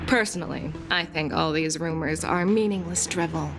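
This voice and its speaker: A woman's voice: sits low, normal speed. A woman voicing a confident, candid female general in a video game, assured, formal and articulate. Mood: serious. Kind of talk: scripted video game dialogue. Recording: game music behind the voice.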